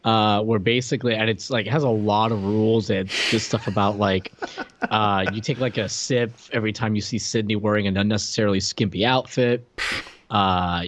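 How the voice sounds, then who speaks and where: A man's voice: lowish voice, steady tone, normal speed, a little hiss or hum. A man co-hosting a podcast in casual review chat, informal, rambling and jokey. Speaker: podcast co-host. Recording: slightly dull, lo-fi sound.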